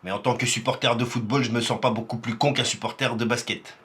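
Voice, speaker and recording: A man's voice: mid-range voice, steady tone, quick pace, fairly bright tone. A man from Paris giving live reaction commentary on a rap battle, exclamatory and teasing. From Paris, France.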